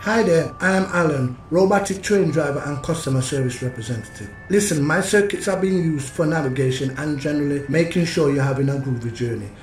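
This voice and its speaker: A man's voice: fairly high pitch, fairly quick, hardly any pauses, reverberant, distant mic, faint music behind, lightly acted, low-quality recording. A man voicing a cheery, glib robot train driver in an audio drama, with customer-service patter. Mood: chirpy, breezy.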